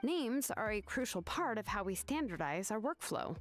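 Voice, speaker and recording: An actress voicing a laid-back young craftswoman who explains things in a relaxed, matter-of-fact way. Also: medium pitch, moderate pace, faint music behind, natural voice. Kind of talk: video game voice acting.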